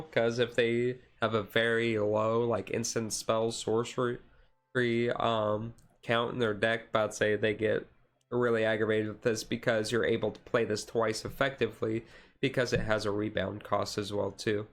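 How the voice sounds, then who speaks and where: A man giving a casual, halting ASMR-style commentary as a streamer, explaining things in a rambling way. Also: lowish voice. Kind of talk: casual card deck review commentary.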